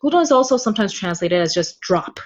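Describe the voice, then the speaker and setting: A woman being interviewed about her translation work, animated and expressive, laughing often as she tells stories. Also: mid-range voice, quick pace. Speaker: guest translator. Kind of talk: casual interview chat. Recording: slightly dull lo-fi sound.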